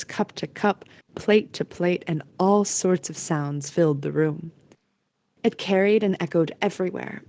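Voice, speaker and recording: A woman's voice: fairly low pitch, darkish tone, dry recording. A woman narrating a scripted story as the narrator of an audio play.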